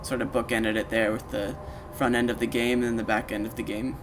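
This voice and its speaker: A man giving a casual, informal walkthrough of a software demo, explaining things step by step to the listener as if they were the player. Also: medium pitch, flat delivery, a little fast, background noise. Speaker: presenter of a video walkthrough, game sound designer. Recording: loud game music under the voice. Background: video game music and sound effects.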